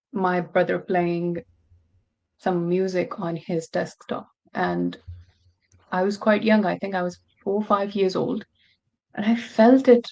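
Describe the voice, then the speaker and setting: A woman's voice: mid-range voice, a little mellow, highs cut off. A young woman from Pakistan, a podcast guest telling her story in an earnest, reflective way. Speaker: guest musician. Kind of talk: podcast interview.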